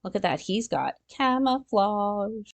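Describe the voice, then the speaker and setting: Expressive woman reading aloud to kids. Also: mid-range voice, slow pace, gravelly. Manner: expressive, exclaiming, talks directly to young listeners. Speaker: children's storytime reader. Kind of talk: read-aloud of a children's nonfiction picture book with chatty asides.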